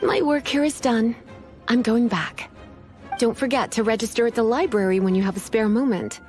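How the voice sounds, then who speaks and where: A woman's voice: on the high side, moderate pace, darkish tone, lightly acted. A woman playing a poised, languid librarian mage in a video game, formal in wording, teasing and faintly menacing. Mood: coy, composed. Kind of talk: video game character acting. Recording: music behind the voice.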